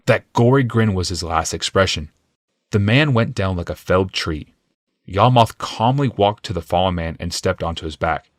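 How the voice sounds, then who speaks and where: A man's voice: deep voice, expressive, normal speed, no room sound, clean studio sound. A man reading scripted, dramatic fantasy narration as an audiobook narrator.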